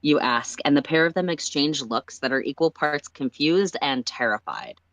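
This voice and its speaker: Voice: mid-range voice, normal speed, fairly bright tone, dry recording, low-quality recording. A person reading a story aloud as narrator, in second-person prose on a livestream.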